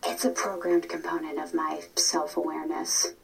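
A bossy robot caretaker nurse, speaking in a steady, middle-register voice with a gravelly edge. She stays patient and matter-of-fact as she herds an old man through his day. Faint noise sits under this audio drama. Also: lowish voice, normal speed. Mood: brisk.

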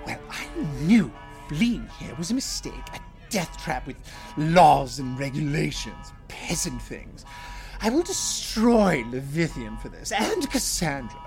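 Haughty, theatrical alien royal. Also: female voice, low-pitched, sing-song, unhurried, darkish tone, soft background music, heavily acted. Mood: vengeful, indignant. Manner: imperious, theatrical, sneering. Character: haughty deposed alien royal, disdainful and vengeful. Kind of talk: audio-fiction sci-fi drama acting.

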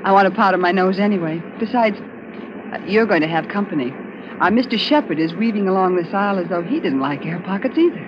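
An actress playing an anxious, inquisitive young fiancée in 1940s radio drama style, on a muffled, noisy old recording. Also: female voice, mid-range voice, animated, a little fast, lightly acted. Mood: nervous.

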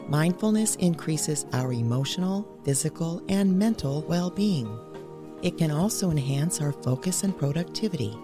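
A woman hosting and leading a guided meditation in a measured, instructional way, reading scripted narration. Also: low-pitched. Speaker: meditation guide and podcast host.

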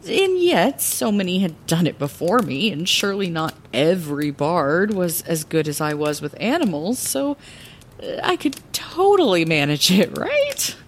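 A woman's voice: lowish voice, unhurried, a little hiss or hum. A woman playing a scatterbrained, insecure but plucky heroine who tells her own story in a fantasy audio drama, often hesitant. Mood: reflective storytelling. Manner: flustered, hesitant, expressive. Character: scatterbrained, insecure, plucky lighthouse keeper and would-be bard.

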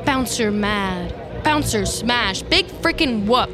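A woman's voice: fairly high pitch, expressive, slow pace, over music. An actress playing an anxious, scheming woman in an audio drama, sardonic and dramatic, often talking to herself. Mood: menacing, scornful. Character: Nancy, anxious, scheming, vindictive woman in crisis. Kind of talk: scripted fiction podcast acting, monologue and dialogue.

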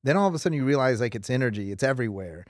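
A man's voice: medium pitch, expressive, racing, no echo, clean studio sound. A man, a podcast guest, thoughtfully sharing a lesson in casual talk.

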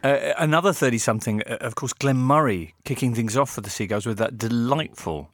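A man's voice: medium pitch, a little fast, dry recording. A man hosting a football podcast, playful and punning as he keeps the chat moving. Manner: playful, punning, lively presenting. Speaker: podcast host.

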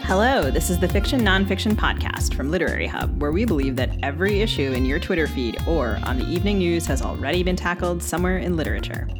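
Female podcast co-host, low register, delivering scripted intro and banter. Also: animated, fast, few pauses, music in the background.

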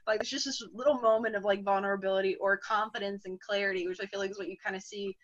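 A woman's voice: mid-range voice, fairly even intonation, very fast, lo-fi sound. A woman guesting on a podcast, chatty with lots of "like" fillers and easy laughter. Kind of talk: casual podcast chat.

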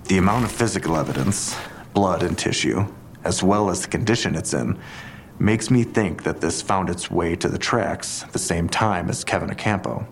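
A police detective in an audio drama. His voice sits very low and he reasons in a deliberate, analytical way. A faint rumble runs underneath. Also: creaky. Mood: measured, speculative.

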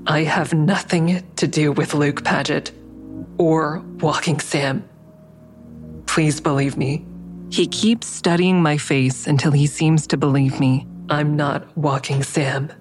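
A woman's voice: low voice, fairly even intonation, slow pace, soft background music. An actress playing an anxious, defensive woman under interrogation in an audio drama, guarded and earnest. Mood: frightened, pleading, then tense narration. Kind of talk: audio drama acting with first-person narration.

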